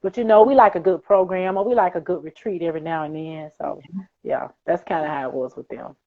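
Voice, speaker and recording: A woman's voice: low-pitched, a little fast, creaky, narrow, tinny audio. A woman speaking as an author guest in a conversational interview, earnest and emphatic, building points through repeated phrases.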